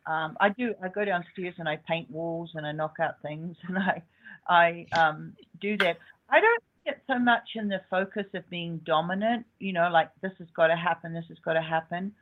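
A woman's voice: fairly low pitch, moderate pace. Eager woman caller on a tinny phone line. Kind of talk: casual chat.

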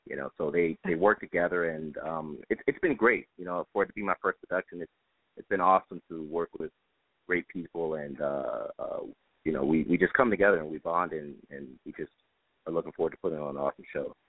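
A man's voice: very deep voice, fairly quick. A man being interviewed as a stage director, speaking conversationally and earnestly, repeating phrases for emphasis. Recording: tinny band-limited phone line, roomy echo.

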